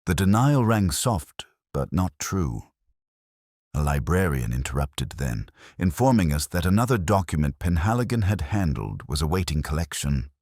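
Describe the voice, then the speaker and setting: A man playing a brooding, weary police inspector, narrating a literary detective audio drama in measured, contemplative phrases. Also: very deep voice, sing-song, a little mellow, dry recording, clean studio sound, heavily acted. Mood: quietly suspicious.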